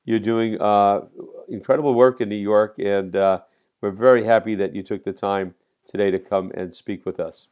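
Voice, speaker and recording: A man with a fairly low voice hosts a podcast. He speaks in the style of a prepared introduction, presenting to listeners. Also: steady tone, normal speed, a little mellow. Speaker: podcast host.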